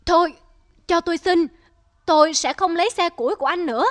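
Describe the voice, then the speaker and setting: A woman voicing a greedy, scheming rich old man in an animated folk tale, smug and wheedling. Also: very high-pitched, brisk. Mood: panicked.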